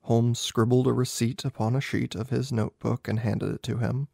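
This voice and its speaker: A man's voice: medium pitch, little pitch movement, normal speed, gravelly, dark tone, dry recording, clean studio sound. A man who hosts a podcast, talking casually and narrating a story. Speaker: podcast host.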